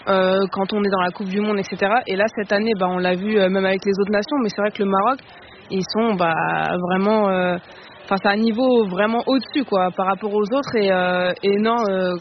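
A woman on a football show panel, talking in relaxed casual chat with many fillers. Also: mid-range voice, fairly quick. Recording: muffled stream with faint noise.

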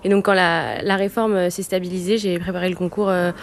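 Young woman, halting earnest answers. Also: on the low side, little pitch movement, a little hiss or hum. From France. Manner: earnest, many hesitant fillers. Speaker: civil service student. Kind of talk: interview answers.

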